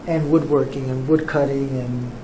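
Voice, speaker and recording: Soft-spoken New artist in a roomy studio. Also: male voice, medium pitch, fairly even intonation. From Cuba, raised in New York. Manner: soft-spoken, unhurried, with frequent ums. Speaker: visual artist, interviewee. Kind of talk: relaxed interview answers. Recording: roomy studio, faint background noise.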